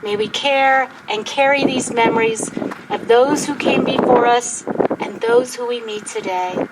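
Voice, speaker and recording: A woman reads a prepared public speech at an outdoor gathering in a solemn, deliberate, formal manner. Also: sits high, big pitch swings. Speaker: speaker at a commemorative gathering. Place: outdoors at a historic site. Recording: wind-buffeted outdoor event microphone, loud noise.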